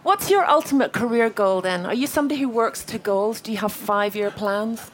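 A woman's voice: a little high, a little fast, a little hiss or hum. A woman interviewing a guest on stage, chatty and playful in her questions. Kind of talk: onstage interview.

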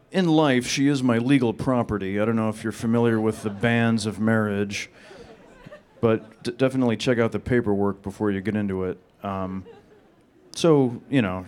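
A man answering audience questions at a panel in a flat, deadpan monotone. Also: fairly low pitch, smooth. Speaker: panel guest.

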